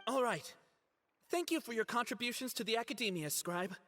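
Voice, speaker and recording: A man's voice: very high voice, lively intonation, a little fast, sharp tone, long reverb tail, a little hiss or hum, heavily acted. An actor playing a proud, easily flustered young male student scholar in a video game, hesitant, earnest and defensive. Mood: relieved, apologetic. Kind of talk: dramatic game character acting.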